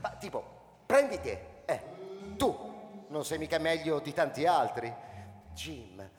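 Wheedling shopkeeper in an echoey hall. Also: male voice, fairly high pitch, sing-song, slow pace. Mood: persuasive. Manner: wheedling, repetitive, salesmanlike. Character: persuasive, chuckling shopkeeper pitching to an ordinary man. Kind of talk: live radio drama acting.

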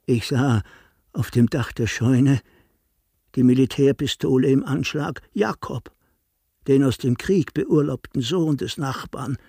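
Husky, guilt-ridden village priest. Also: male voice, medium pitch, unhurried, warm tone, dry recording, studio quality. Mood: anguished. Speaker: actor in a radio drama. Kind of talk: slow, literary radio drama monologue.

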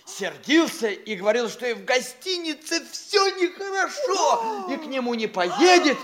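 An actor playing an excitable, gossipy, servile little provincial gentleman in a theatrical classic comedy, breathless and self-important. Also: very high-pitched, unhurried, heavily acted. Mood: gossipy, confiding.

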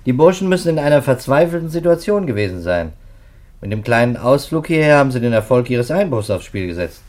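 An actor playing a sceptical, methodical police detective in a 1950s radio play, speaking in a clipped, businesslike manner. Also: male voice, mid-range voice, very animated, brisk, a little mellow, natural voice. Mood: pondering, insistent. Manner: businesslike, clipped, rattles off facts.

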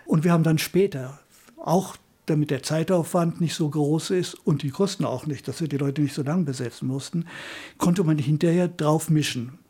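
Older man, husky mellow storyteller. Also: fairly high pitch, normal speed. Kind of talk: interview reminiscence, spontaneous storytelling.

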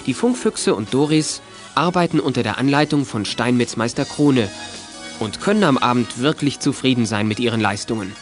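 A man's voice: mid-range voice, moderate pace, over music. A man narrating a children's audio drama as a scripted storyteller.

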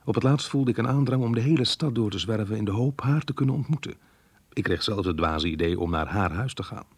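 An actor playing a melancholy married man reading his diary in a radio drama, earnest, reflective and measured. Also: fairly low pitch, lively intonation, a little mellow, husky, no room sound. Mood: melancholy, wistful. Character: married man, melancholy diarist torn by guilt over lost love.

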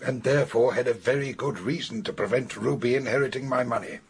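An actor playing a wealthy older English gentleman in a radio drama, dry and sardonic, with clipped, wry delivery. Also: medium pitch, brisk, breathy edge. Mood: grim. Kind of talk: British radio drama acting.

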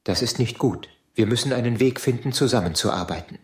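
A man's voice: mid-range voice, fairly quick, a little mellow, natural voice. A man as an earnest traveler, echoey. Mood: earnest, dry. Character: earnest, conciliatory male space traveler, naively reasonable. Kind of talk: scripted audio drama acting. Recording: echoey room.

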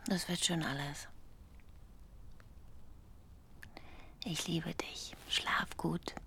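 Radio play role: gentle young mum, patient and soothing, natural delivery. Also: female voice, sits low, fairly even intonation, slow, creaky, in a whisper, exaggerated voice. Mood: hushed, tender. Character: caring young mother and wife, soothing and patient. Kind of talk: naturalistic radio drama acting.

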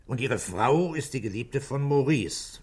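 A man playing a calm, middle-aged police inspector in a 1960s German radio drama, questioning in terse, measured phrases. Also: medium pitch, husky. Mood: probing, measured.

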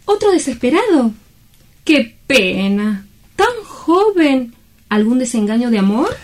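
An actress plays a sentimental woman in a radio drama. Her voice is high-pitched. She sounds pitying and wistful, with a theatrical, romantic longing. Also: big pitch swings, slow, a little mellow, full character voice.

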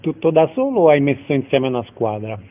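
A man's voice: mid-range voice, sing-song, brisk. A man hosting a radio interview. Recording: narrow, phone-like radio sound.

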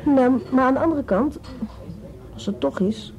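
An actress playing a nosy, sharp-tongued woman in a radio drama, who probes and teases. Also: high-pitched, a little slow. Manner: probing, teasing, sarcastic.

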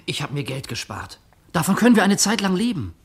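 An actor playing a hesitant, loyal young male friend in a radio drama, soft-spoken and earnest. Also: high-pitched, very animated, a little fast, husky, heavily acted. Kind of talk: 1950s-style radio drama acting.

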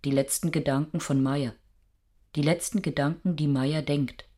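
An actor playing a detached narrator in a radio drama, speaking in terse, clinical, emphatic statements. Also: male voice, fairly high pitch, steady tone, normal speed, darkish tone, dry recording, studio quality, close to an everyday voice. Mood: clinical, insistent. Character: detached clinical male narrator reporting a man's decline.

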